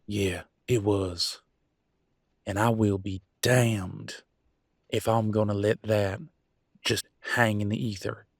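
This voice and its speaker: A man playing a conflicted werewolf pack alpha in an audio roleplay, arguing emphatically in colloquial, sweary speech with dramatic pauses. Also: lowish voice, flat delivery, creaky, dry recording, studio quality, heavily acted. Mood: remorseful, firm. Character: Damien, conflicted male werewolf pack alpha, defiant and remorseful. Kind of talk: scripted audio roleplay, one side of an argument.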